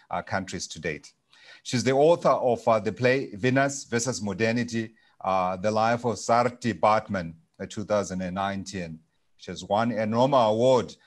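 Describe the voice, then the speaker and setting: A man with a lowish voice presents a live broadcast, introducing the next guest from a studio set. He talks in a conversational way, pausing on frequent fillers as he goes.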